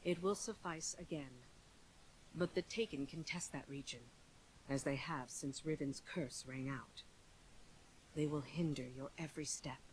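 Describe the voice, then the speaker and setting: An actress playing a dutiful royal lieutenant, formal, composed and direct, giving a video game mission briefing. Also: female voice, deep voice, slight background noise, rough recording. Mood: resolute.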